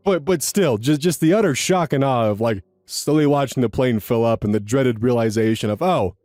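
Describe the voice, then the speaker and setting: A man's voice: medium pitch, normal speed, a little mellow, dry recording, clean studio sound. A young man reading online posts aloud and commenting on them in a rambling, casual way, repeating words and swearing now and then.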